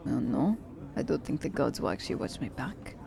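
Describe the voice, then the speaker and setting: A woman playing a confident, selfish young assassin in an ASMR romance roleplay, speaking in a teasing, halting manner. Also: extremely low pitch, big pitch swings, gravelly, noisy background, full character voice. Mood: wry, candid.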